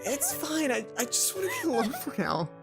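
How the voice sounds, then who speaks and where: A woman's voice: sits high, huge pitch swings, a little fast, vocal fry, over music, voice pushed up, heavily acted. A woman voicing a timid, self-pitying schoolgirl heroine in a visual novel, hesitant and downcast. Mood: upset.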